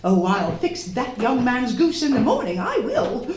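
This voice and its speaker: A woman's voice: fairly high pitch, wildly swooping pitch, background noise. A woman playing a domineering, indignant society hostess and would-be medium in a theatrical radio drama. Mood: haughty indignation. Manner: imperious, indignant, scolding, drawn-out theatrical delivery. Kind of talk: live radio drama acting. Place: stage of a live theatre performance. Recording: roomy echoing live stage recording.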